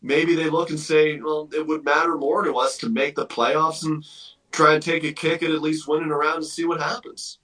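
A man's voice: a little high, little pitch movement, moderate pace, fairly bright tone, recorded from a distance, rough recording. A man giving emphatic sports commentary as a guest analyst, thinking aloud and repeating phrases as he builds his point.

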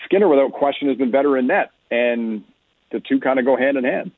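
Over a narrow, phone-like radio line, a man with a medium-pitched voice gives sports analysis as a guest. He speaks conversationally and explains his points in a measured way. The sound is dry, with no room echo.

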